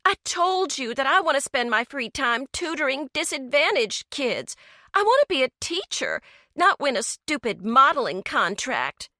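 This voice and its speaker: A woman's voice: high voice, very animated, normal speed, pushed and bright, no echo, clean studio sound, voice pushed up, full character voice. A woman voicing a defiant teenage girl who talks back in a snappy, dismissive way. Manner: snappy, sassy, nonchalant.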